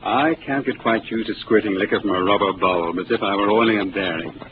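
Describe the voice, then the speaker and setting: Male actor as a courteous, inquisitive passenger, 1950s radio play, lowish voice, hissy phone-thin sound. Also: fairly quick, narrow, tinny audio, close to an everyday voice. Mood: curious. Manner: courteous, inquisitive, asks many questions. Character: polite, curious male civilian passenger. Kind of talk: 1950s radio drama acting.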